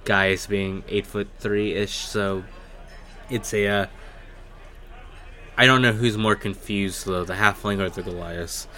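Slow, low-voiced male game master. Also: steady tone, sharp tone. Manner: halting, descriptive. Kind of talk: improvised tabletop game narration.

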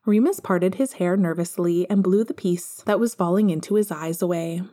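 A woman's voice: on the low side, moderate pace, dark tone. A woman reading scripted fiction as an audiobook narrator.

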